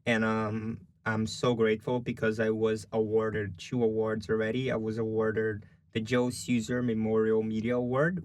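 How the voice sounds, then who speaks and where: A young man with a Brazilian Portuguese accent speaks in a low voice, chatting loosely in an interview. He fills his answers with "like" and "you know". The sound is close and dry. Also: no room sound. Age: young adult. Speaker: guest, journalism student.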